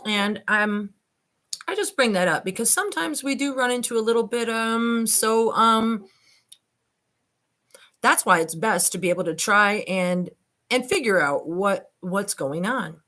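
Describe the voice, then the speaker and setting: A woman's voice: medium pitch, lively intonation, unhurried, a bit bright. A woman reading a transcript aloud and commenting informally, addressing listeners directly with skeptical asides. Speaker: online commentator and host.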